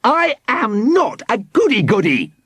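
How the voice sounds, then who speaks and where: A man puts on an earnest young storybook boy, pitched well up and full of indignation. He exclaims disapprovingly, with very lively swings of pitch. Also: a little slow, breathy edge, no echo, exaggerated voice. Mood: indignant.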